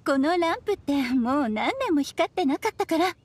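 A woman's voice: high voice, very animated, a little fast, no room sound. A woman playing a bubbly, whimsical mother in an anime game, chatty, girlish and breezy. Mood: chatty, playful. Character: bubbly, playful adult mother, whimsical adventurer. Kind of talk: Japanese anime game acting.

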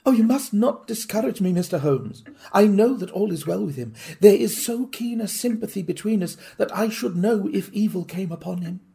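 A man's voice: high voice, expressive, moderate pace, vocal fry, few pauses, dark tone, slightly rough, voice pushed up, exaggerated voice. A man voicing an anxious, earnest Victorian wife who pleads in a formal, intense way. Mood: pleading. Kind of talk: audiobook reading.

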